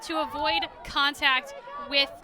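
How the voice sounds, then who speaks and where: American female color analyst, high register, conversational and explanatory, live outdoor stadium with crowd noise. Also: fairly even intonation, slow. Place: outdoor stadium broadcast position. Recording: crowd and music behind, outdoors. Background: crowd and music.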